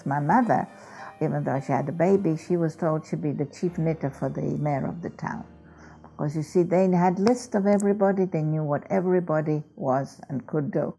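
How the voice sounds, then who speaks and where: An elderly woman in her eighties from Poland speaks in a deep, gravelly voice with a mellow, dark tone. She lays out details one after another in an earnest way, with faint music behind her. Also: normal speed, poor audio. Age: 80s. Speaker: Holocaust survivor, interviewee. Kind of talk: testimony interview.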